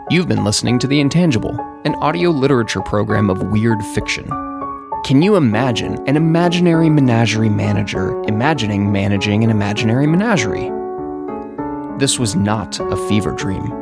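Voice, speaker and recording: A man's voice: on the low side, animated, a little fast, gravelly. A man hosting a podcast, reading a scripted outro. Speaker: podcast host. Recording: loud piano music behind.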